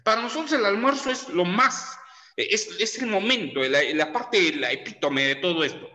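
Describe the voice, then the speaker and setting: A man's voice: sits high, expressive, moderate pace, pushed and bright. A middle-aged Peruvian man lecturing a class in a chatty way, dropping lots of tag questions and fillers. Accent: Peruvian Spanish. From Peru. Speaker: teacher. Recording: echoey room with long reverb.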